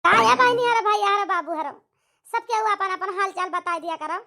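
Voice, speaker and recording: A very high, bright voice acting a nagging, emphatic village woman with an Awadhi accent in a cartoon comedy. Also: moderate pace, creaky, exaggerated voice. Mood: chatty, complaining. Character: scolding village Indian women of a cartoon family.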